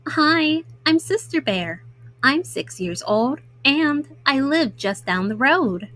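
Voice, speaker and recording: A six-year-old girl bear from a children's storybook, as a woman reader puts her on. She is high-pitched, assertive and eager in a childlike way. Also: expressive, slow, fairly bright tone, heavily acted. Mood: eager, friendly. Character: bossy, eager little sister bear cub, six.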